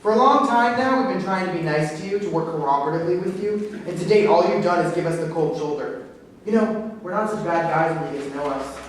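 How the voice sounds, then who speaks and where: Aggrieved, cajoling schemer played by a male actor, higher-pitched, complaining stage reading captured far from the mic. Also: brisk, lightly acted. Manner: complaining, pleading. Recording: echoey room, distant mic, faint noise.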